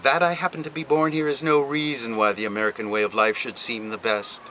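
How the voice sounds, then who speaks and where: A man reading a book passage aloud, with an expressive, deliberate delivery and dramatic pauses. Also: medium pitch, a little hiss or hum. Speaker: reader. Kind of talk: literary reading.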